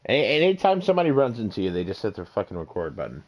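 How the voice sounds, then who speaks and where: A man's voice: fairly low pitch, huge pitch swings, rapid-fire, darkish tone. A man hosting a podcast in loose, profane casual talk, laughing easily. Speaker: podcast host.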